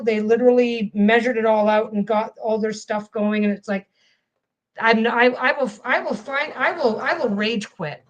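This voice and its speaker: A woman's voice: a little high, low-quality recording. Rambling woman chatting while she sews. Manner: rambling, restarts phrases often. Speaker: quilting vlogger. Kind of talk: casual chat while sewing.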